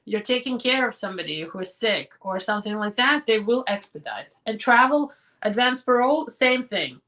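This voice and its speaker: A hoarse woman attorney answers viewer questions on a live show in a direct, practical way. Her voice sits a little high and sounds bright and forceful. She sounds a bit distant from the mic. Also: lively intonation, normal speed.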